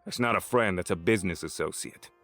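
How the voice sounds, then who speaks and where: A man plays a powerful crime boss with a low, gravelly voice over music. He sounds dry, cynical and guarded, like someone weary of the people around him. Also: fast talker, fairly bright tone. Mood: cynical, wry. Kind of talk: audio drama acting.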